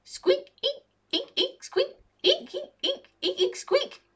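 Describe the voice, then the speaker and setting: Death of Rats: a shrill skeletal rat. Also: female voice, expressive, takes it slowly, fairly bright tone, full character voice. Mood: excited. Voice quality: shrill squeaks. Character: tiny skeletal rat, excitable squeaker.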